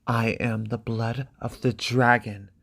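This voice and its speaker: A man's voice: mid-range voice, level tone, slow, gravelly, a bit bright, full character voice. A man voicing a timid young princess, hesitant and earnest, in a dramatic reading of a fantasy story. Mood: steeling herself. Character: timid, soft-spoken young princess finding her courage. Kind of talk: dramatic graphic novel reading.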